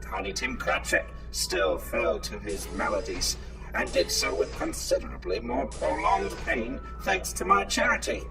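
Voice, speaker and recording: A distant-sounding old half-mechanical villain, bombastic and menacing. The gravelly voice swings wildly in pitch with grandiose theatrical flourish, over loud music in an audio drama. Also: male voice, big pitch swings, unhurried. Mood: bitter, bombastic. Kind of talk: audio comedy drama acting.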